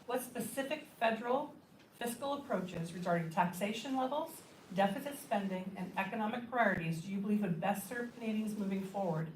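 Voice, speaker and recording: A woman moderator reads formal debate questions at an average pitch. She is picked up at some distance in an echoey room, with slight noise. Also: fairly quick. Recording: echoey hall, distant mic, faint noise.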